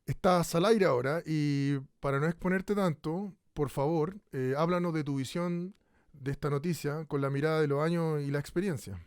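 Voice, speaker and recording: Dystopian radio play actor as male host: polite, earnest, slightly hesitant, fairly high voice, dry sound. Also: normal speed, clear, resonant tone, no room sound, lightly acted. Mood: courteous. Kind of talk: radio play as live news talk show.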